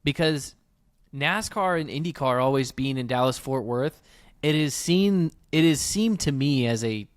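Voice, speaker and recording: A man speaks as a podcast co-host in casual conversation, often halting to think aloud and seeking agreement. His voice is mid-pitched and recorded dry, with hardly any room sound. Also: animated, clean tone, dry recording. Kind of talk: casual motorsports discussion.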